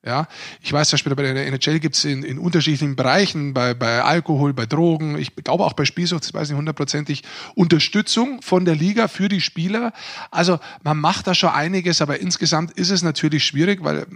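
Male podcast co-host, slightly high voice, earnest and philosophical, feeling his way through sentences. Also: brisk, a bit bright. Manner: earnest, philosophizing, searching for words. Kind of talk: casual reflective podcast talk.

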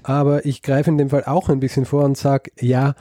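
A man's voice: mid-range voice, flat delivery, normal speed, mellow, no echo, clean studio sound. A man telling a story in casual chat, hesitant and full of ums and restarts. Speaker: podcast host telling a story.

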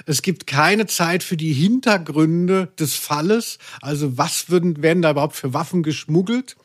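A male podcast co-host chatting casually and humorously, with halting phrasing and wry asides. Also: fairly high pitch, a bit bright, no room sound, studio quality.

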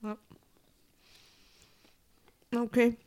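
A woman's voice: drawn-out words, background noise. A woman co-hosting a casual podcast chat, giving blunt, matter-of-fact opinions. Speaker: podcast co-host.

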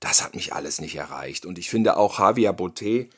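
A man's voice: sits low, normal speed, slightly rough. A man co-hosting a horror film podcast, chatting casually and speaking straight to the listeners. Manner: lively, animated, addresses listeners directly. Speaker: podcast co-host. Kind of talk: casual film review chat.

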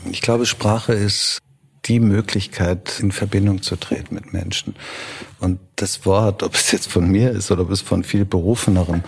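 A middle-aged man with a heavily gravelly, raspy voice, answering an interviewer's questions. He talks in a slightly low register and thinks aloud, pausing as he searches for the right words. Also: steady tone. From Italy and Germany. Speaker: festival director, interview guest.

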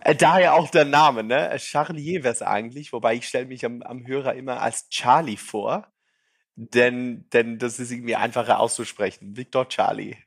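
A man in his thirties, born in Brazil and raised in Switzerland, speaking with a faint French-tinged accent. His voice is a little husky and sits at a middle pitch. He chats loosely, with plenty of fillers and light self-mockery. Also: fairly even intonation, normal speed. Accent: light French-tinged Swiss. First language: Portuguese and French. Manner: chatty, self-deprecating, many fillers. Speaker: startup founder, guest. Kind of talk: casual interview chat.

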